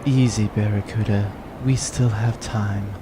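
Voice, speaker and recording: A man playing a dry, unflappable space pirate's henchman, deadpan and measured, in a comic sci-fi audio drama. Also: on the low side, a little hiss or hum, natural voice. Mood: calm, wry.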